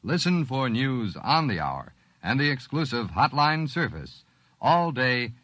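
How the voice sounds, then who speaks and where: An American man delivers a 1950s radio promotion in an emphatic, polished announcer style. His medium-pitched voice is animated and recorded very dry. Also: measured pace, no room sound. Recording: vintage radio broadcast.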